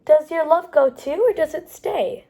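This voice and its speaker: A woman reading picture books aloud to young children as a storytime host, animated and pausing to explain things to the listeners. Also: sits high. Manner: animated, explains pictures and words as she goes.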